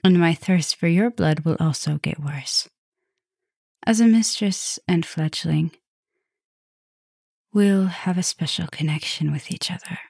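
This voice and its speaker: A woman playing a caring, softly dominant vampire professor in an ASMR roleplay, talking gently and intimately to the listener, with breathy drops toward a whisper. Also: lowish voice, unhurried, pauses often, no echo, studio quality, exaggerated voice. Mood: serious, protective.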